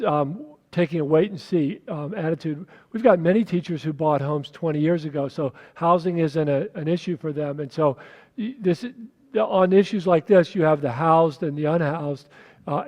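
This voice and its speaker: A man speaking as a school superintendent on a panel, explaining things in a measured way. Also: fairly high pitch, dark tone, dull audio. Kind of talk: panel discussion. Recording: reverberant hall.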